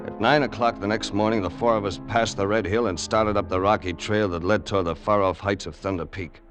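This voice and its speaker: A man's voice: on the low side, steady tone, a little fast, natural voice. An actor playing a tough, wisecracking crook who narrates his story colloquially in old radio drama style. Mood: weary, tense narrating. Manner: colloquial, hard-boiled. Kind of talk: 1940s-50s radio acting, first-person narration. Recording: vintage radio drama recording with music.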